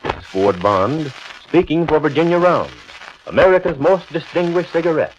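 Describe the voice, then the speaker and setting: A man's voice: a little high, big pitch swings, moderate pace. A man announcing a scripted 1940s radio sponsor message with polished, formal salesmanship. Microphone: radio studio microphone. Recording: noisy, muffled 1940s radio recording.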